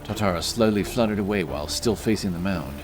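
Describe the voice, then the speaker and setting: A man's voice: sits low, fast, music in the background. A man reading scripted, dramatic narration for a fantasy adventure story.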